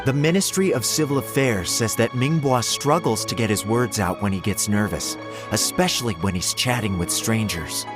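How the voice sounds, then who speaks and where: A man's voice: lowish voice, lively intonation, moderate pace, music in the background, natural voice. Man voicing a huffy, earnest young informant. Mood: reporting. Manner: huffy, then dutiful. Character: earnest, easily irritated young male informant. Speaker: game character.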